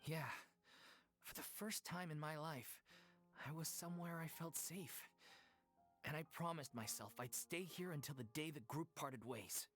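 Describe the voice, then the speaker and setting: A man's voice: on the high side, measured pace, a bit bright. A man playing an earnest, plainspoken, loyal young troupe actor in a video game, with music behind him. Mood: earnest, reflective.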